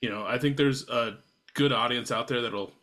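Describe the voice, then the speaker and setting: A man's voice: mid-range voice, moderate pace, fairly bright tone. A man hosting a casual podcast chat, rambling a little and laughing along with his guests. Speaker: podcast host. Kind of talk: casual chat over beers.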